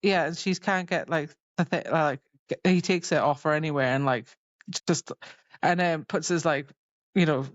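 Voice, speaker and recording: A woman co-hosting a podcast, casually retelling book scenes in loose, halting phrases full of fillers. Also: deep voice, dry recording, muffled recording. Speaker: podcast co-host.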